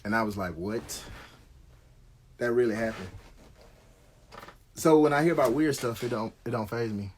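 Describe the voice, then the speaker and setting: A man's voice: medium pitch, lively intonation, normal speed, long pauses, a little hiss or hum. A middle-aged American man telling stories casually on a live stream, slangy and conversational, swearing freely.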